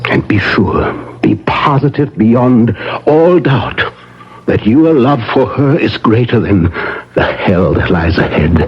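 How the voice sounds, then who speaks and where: An actor playing a solemn, insistent professor who hunts vampires, speaking huskily with portentous pauses in 1970s radio drama style, on a muffled broadcast. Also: male voice, fairly low pitch, breathy edge, full character voice. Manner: deliberate, portentous, emphatic repetitions and dramatic pauses.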